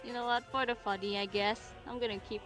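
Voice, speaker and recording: A woman's voice: a little high, fairly even intonation, quick pace, a bit bright, faint music behind. A woman chatting casually as a player in a tabletop role-playing game.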